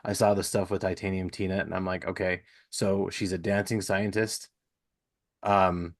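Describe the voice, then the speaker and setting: Low-voiced middle-aged male podcast host. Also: level tone, normal speed. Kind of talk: casual interview chat.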